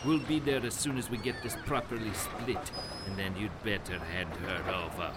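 An actor playing a coarse, greedy river pirate who leads a gang, speaking threateningly in an audio drama. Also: male voice, lowish voice, fairly even intonation, normal speed, over a noisy crowd, lightly acted. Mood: menacing.

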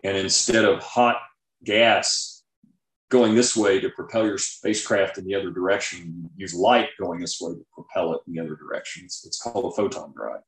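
A man's voice: low voice, fairly even intonation, moderate pace, a bit bright. A man speaking as an expert guest in a conversational interview, explaining things and often checking in with "right?". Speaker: guest author and scientist. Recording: roomy, echoing sound.

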